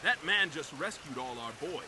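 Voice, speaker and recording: Man acting high-voiced commanding army sergeant, noisy recording. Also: lively intonation, moderate pace, lightly acted. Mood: stern. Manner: barking, authoritative. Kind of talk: old time radio drama acting.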